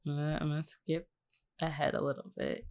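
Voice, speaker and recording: A woman's voice: low voice, little pitch movement, moderate pace, gravelly, darkish tone. A woman chatting casually as a podcast co-host, teasing and often repeating her phrases for emphasis.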